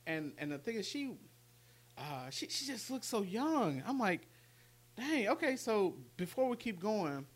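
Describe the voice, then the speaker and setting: Stuffy-nosed man in his late thirties, higher-pitched. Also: very animated, a little slow. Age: late 30s. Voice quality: stuffy, congested nose. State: stuffy from allergies. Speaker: podcast co-host. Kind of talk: casual chat.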